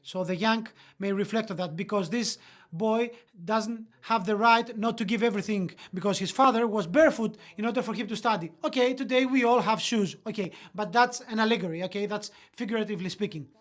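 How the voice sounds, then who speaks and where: Interpreter, earnest, mid-pitched, hesitant restarts, motivational webinar voice-over. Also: brisk. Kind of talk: motivational talk, interpreted into English.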